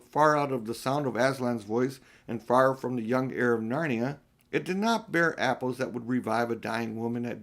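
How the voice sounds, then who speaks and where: A man's voice: mid-range voice, vocal fry. An older man narrating a children's storybook as an audiobook reader, occasionally stumbling and restarting a phrase. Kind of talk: storybook narration read aloud, with small slips and restarts.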